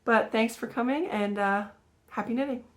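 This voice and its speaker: A woman in her thirties, a little raspy from a cold, chatting casually about her knitting projects with many ums and self-corrections. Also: on the high side, moderate pace. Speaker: guest knitter sharing projects. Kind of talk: casual show-and-tell.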